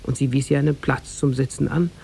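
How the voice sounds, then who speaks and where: A man narrating a tale as a formal, measured storyteller in 1950s German radio style. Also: medium pitch, fairly even intonation, creaky, warm tone, a little hiss or hum.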